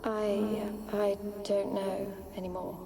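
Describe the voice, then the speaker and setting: A woman's voice: medium pitch, little pitch movement, takes it slowly, gravelly. An actress playing the ghost of a sorrowful young noblewoman in a video game, pleading and evasive, with archaic, plaintive phrasing. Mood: wistful, sorrowful. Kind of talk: dramatic video game acting. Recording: echoing, distant ghostly voice over music.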